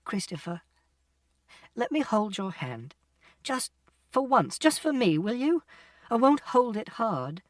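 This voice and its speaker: A distraught mother, as an audiobook narrator acts her out, fiercely protective of her child. The delivery swings widely in pitch, with a dry sound. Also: big pitch swings, measured pace, fairly bright tone, no room sound, recorded from a distance. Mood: tearful, pleading. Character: distraught, protective mother, tearful then furious. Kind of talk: audiobook character acting.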